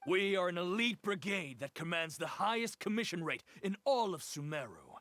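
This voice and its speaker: A man's voice: sits high, expressive, moderate pace, fairly bright tone, no echo. A man voicing a cocky, threatening leader of a mercenary band in a video game, boastful and taunting. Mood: scornful boasting. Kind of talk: English video game dub.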